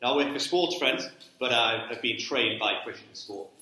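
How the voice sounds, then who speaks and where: A man's voice: mid-range voice, moderate pace, sharp tone. A man in his thirties with a Geordie accent, from Newcastle, giving a chatty church talk about sports ministry and often checking in with "okay?". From Newcastle, England. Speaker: guest speaker, sports ministry director. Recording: very echoey church hall.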